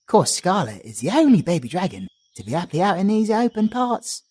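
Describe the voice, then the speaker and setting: A man's voice: sits high, big pitch swings, brisk, mellow, breathy edge, exaggerated voice. A British man voicing a cheerful, chatty male bard in an audio roleplay, doting and slangy as he talks.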